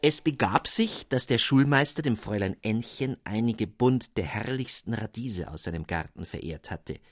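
A man reading a fairy tale aloud in an expressive, measured narration. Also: on the low side, no echo, studio quality, soft background music. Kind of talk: storybook reading.

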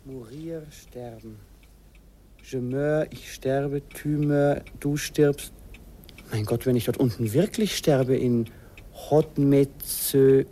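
An actor playing a naive young student son, boyish and hesitant, in an old radio play. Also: male voice, medium pitch, steady tone, takes it slowly, warm tone. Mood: anxious studying. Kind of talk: 1950s radio acting. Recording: old radio recording with faint noise.

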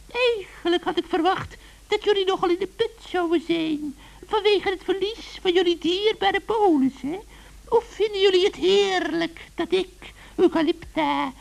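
A woman playing a gleeful, malicious old witch in a children's radio play, with an ugly cackling voice and drawn-out gloating. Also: extremely high pitch, gravelly, heavily acted, low-quality recording. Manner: giggling, drawn-out, theatrical gloating.

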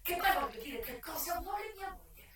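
A woman's voice: very high voice, expressive, moderate pace, a crowd in the background, voice pushed up. An actress playing a shamed, self-pitying married man in his forties, trembling and stammering in theatrical style. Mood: indignant, pleading. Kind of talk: theatrical stage acting. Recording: echoey distant stage sound with loud music.

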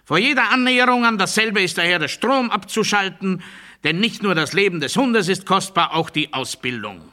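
A cold official of a brutal regime lectures in a radio drama monologue. His voice sits high for a man, with a very hard, tense, cutting edge. He words everything formally and emphatically, like an ideologue issuing instructions, with underlying menace. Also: animated, normal speed, pushed and bright, exaggerated voice. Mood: clinical, instructional.

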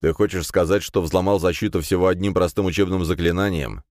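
Audiobook role: middle-aged male clan head played by a man, low register, stern, curt, menacing, used to command. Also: brisk, a little mellow, dry recording, clean studio sound, lightly acted. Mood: brusque. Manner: curt, commanding, coldly threatening.

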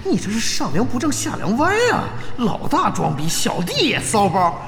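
A cocky young male rival in a gaming audio drama. He sneers and mutters with scorn, petty and quick to flare up, his pitch leaping about wildly. The sound is echoey, with loud music behind. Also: huge pitch swings, creaky, exaggerated voice. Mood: indignant scorn. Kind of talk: Chinese audio drama acting. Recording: reverberant room, loud music bed.

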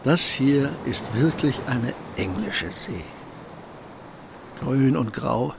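An actor playing a wise, gentle old shopkeeper in a radio play, speaking in calm, unhurried aphorisms, with a gravelly voice. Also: male voice, medium pitch, background noise. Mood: gently philosophical. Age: older.

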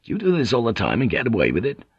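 A man's voice: medium pitch, wildly swooping pitch, racing, slightly rough, dry recording, lo-fi sound. A man voicing a guarded, plain-spoken ship's pilot in an audiobook, reserved and questioning.

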